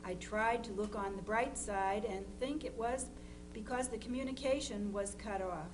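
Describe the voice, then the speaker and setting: A woman's voice: medium pitch, moderate pace, lightly acted. A woman playing an anxious, devoted mother of Civil War soldiers, reading her letters plainly and carefully. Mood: worried, tender. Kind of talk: dramatized reading of historical letters. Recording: noisy live recording with hum.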